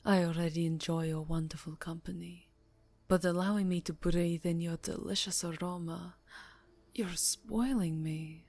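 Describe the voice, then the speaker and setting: A woman playing a seductive, aristocratic vampire countess in an ASMR roleplay, teasing and formal, with a breathy voice that often drops to a whisper. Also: on the low side, fairly even intonation, moderate pace, a little mellow, no room sound. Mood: seductive, tender. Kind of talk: ASMR roleplay, slow intimate acting. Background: music.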